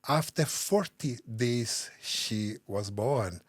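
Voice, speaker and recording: A Brazilian man, a native Portuguese speaker, answers interview questions in halting English. He restarts often and searches for his words, in a mid-pitched voice with a dry, close sound. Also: no echo. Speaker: guest.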